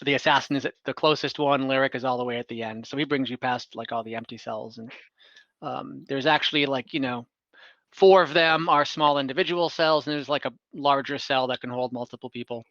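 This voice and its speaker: A man narrating a tabletop game as game master, conversational and halting as he improvises scenes. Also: mid-range voice, sharp tone, no echo, dull audio. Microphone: close mic, online call.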